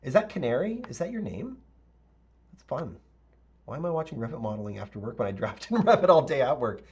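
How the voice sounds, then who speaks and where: A male architect teaching a live software tutorial, talking casually with viewers and thinking aloud with fillers; his voice is a little raspy. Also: medium pitch, muffled recording. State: slightly raspy voice, clearing throat.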